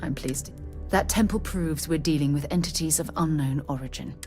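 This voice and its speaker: An actress playing a formal, precise female scientist who is fascinated by the unknown and speaks in measured tones, with faint game music behind. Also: sits low, a little mellow, lightly acted. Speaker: scientist companion. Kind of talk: video game voice acting.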